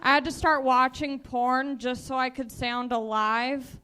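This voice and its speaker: A woman in her twenties doing a stand-up set in a flat, monotone voice. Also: high-pitched, unhurried, slight background noise. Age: 20s. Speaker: stand-up comedian.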